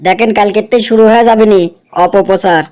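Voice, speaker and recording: Man acting very high-voiced scheming fixer. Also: fairly even intonation, fast talker, a little mellow, lightly acted. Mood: reassuring, eager. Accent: Kushtia, Bangladesh. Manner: assuring the boss, conniving. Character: obliging, scheming male political fixer. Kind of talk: animated cartoon dialogue.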